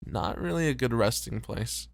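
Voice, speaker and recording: Conscientious, self-doubting host for ASMR roleplay, played by a man: sincere, fry-edged voice, very dry close recording. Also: mid-range voice, expressive, moderate pace, no room sound. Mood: worried, sincere. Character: conscientious, self-doubting male host, weary but devoted. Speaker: voice actor.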